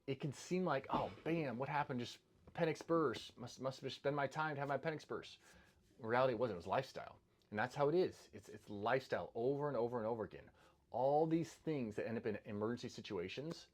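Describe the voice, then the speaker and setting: A middle-aged man, a doctor, talking earnestly to the camera about health habits, often repeating words and restarting his sentences. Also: medium pitch. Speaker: doctor giving health advice. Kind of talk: casual monologue to camera.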